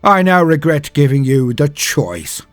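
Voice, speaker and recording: A man's voice: on the high side, big pitch swings, unhurried, no room sound, soft background music, voice pushed up. A man voicing a stern, unflinching space marine sergeant in a dramatic story, commanding and menacing. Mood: stern warning. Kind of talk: dramatic storybook character acting.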